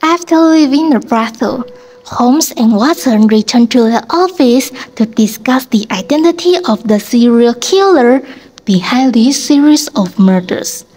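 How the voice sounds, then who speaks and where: A woman's voice: fairly high pitch, lively intonation, measured pace, darkish tone. A woman giving scripted narration as the storyteller of a student radio drama.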